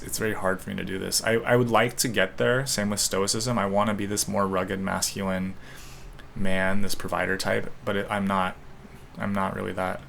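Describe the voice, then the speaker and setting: A man's voice: lowish voice, creaky, fairly bright tone, a little hiss or hum. A young man in casual solo talk, reflecting aloud in a hesitant, rambling way with many restarts. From United States. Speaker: podcaster. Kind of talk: casual solo talk while taking an online quiz.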